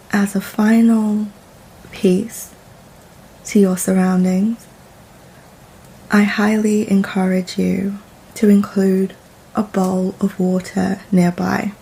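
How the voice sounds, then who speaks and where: A woman guides a meditation at an extremely unhurried pace, leaving long pauses between short phrases. Her tone is dark and relaxed, soft and soothing, with noticeable vocal fry. A faint ambient noise sits behind her voice. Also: medium pitch, low-quality recording.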